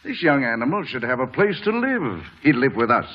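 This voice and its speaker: Firm kindly father, animated old radio. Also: mid-range voice, brisk. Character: kindly, firm father taking in an orphan boy. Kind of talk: 1940s radio drama acting. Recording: muffled, dated radio broadcast.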